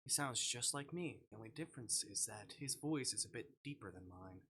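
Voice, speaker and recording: Casting: man as wary, cocky young visual novel lead, sarcastic and challenging, bright voice with animated swings. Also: on the low side, brisk, slight background noise. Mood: wary, puzzled. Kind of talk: visual novel character reading.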